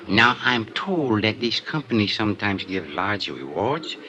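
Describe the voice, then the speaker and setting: Oily French-accented crook, mock-courteous, evasive, sharp bright voice, swinging pitch, old radio drama. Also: male voice, very animated, measured pace, full character voice. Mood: wily bargaining. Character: oily, evasive French-speaking Casbah smuggler and killer. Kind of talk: 1950s radio drama acting.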